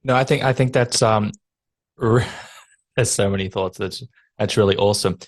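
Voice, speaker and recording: Male podcast host, low register, dry close sound, conversational and encouraging. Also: moderate pace, dry recording. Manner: enthusiastic, encouraging, rambling questions. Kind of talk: casual interview chat.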